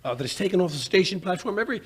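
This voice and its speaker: A man playing a genial, blustery English gentleman sidekick in an old-time radio drama, with a husky voice and a bumbling, stammering delivery. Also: high voice, lively intonation, rapid-fire, a little hiss or hum. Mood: amused, musing.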